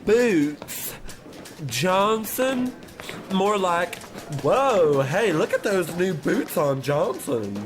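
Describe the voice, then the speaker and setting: A man's voice: high-pitched, big pitch swings, very slow, faint music behind, exaggerated voice. A man playing a snide, fickle, pushy office coworker in a comic radio play. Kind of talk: comic old-time radio play acting.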